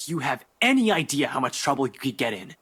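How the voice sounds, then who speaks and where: An actor playing a stern, exasperated young police detective in a visual novel game, brusque and scolding, with a slightly husky voice. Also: male voice, mid-range voice, sing-song, fast talker, a bit bright. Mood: angry, scolding. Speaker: police investigator. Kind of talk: dramatic visual novel voice acting.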